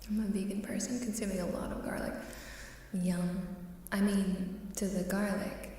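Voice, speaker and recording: A soft-spoken woman chatting playfully and coyly in an ASMR style, often dropping into a whisper. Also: medium pitch, level tone, normal speed. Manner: playful, coy. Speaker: ASMR live streamer. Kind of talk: ASMR casual chat with whispering. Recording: reverberant hall-like echo, faint hiss, distant.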